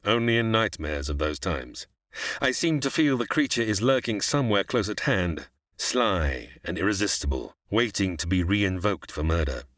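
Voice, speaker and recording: An actor playing a cultured, guarded middle-aged scientist in an old-time radio sci-fi drama, speaking formally and theatrically. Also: male voice, low-pitched, sing-song, normal speed, a bit bright, no echo, clean studio sound. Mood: grave, haunted.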